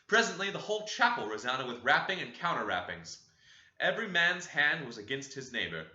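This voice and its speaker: Guy reading an old novel audiobook, very bright voice, lively, echoey room. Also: mid-range voice, muffled recording. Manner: expressive, dramatic reading. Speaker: audiobook narrator. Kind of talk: scripted narration of a classic novel.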